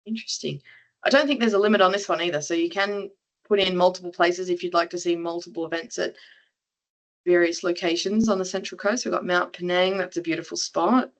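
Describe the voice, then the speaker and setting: A woman's voice: on the low side, steady tone, a little fast. A woman moderating an online webinar over a video call, upbeat as she reads out audience answers and questions.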